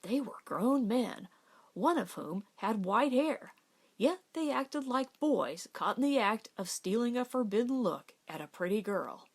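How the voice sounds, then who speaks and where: A woman's voice: a little high, expressive, measured pace, husky. A woman reading a novel aloud as an audiobook narrator. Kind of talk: scripted literary narration.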